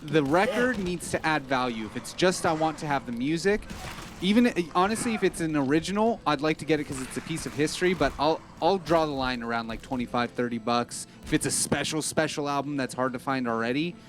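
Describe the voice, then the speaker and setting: A man's voice: a little high, fairly quick. An American man co-hosting a livestream, giving his opinions in informal, casual chat. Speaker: livestream co-host. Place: small cluttered room indoors. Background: music.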